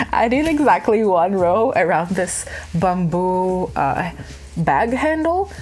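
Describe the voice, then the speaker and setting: A woman from Ukraine chatting casually to camera about her craft projects, rambling with many fillers and restarts. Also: fairly low pitch. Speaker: craft vlogger.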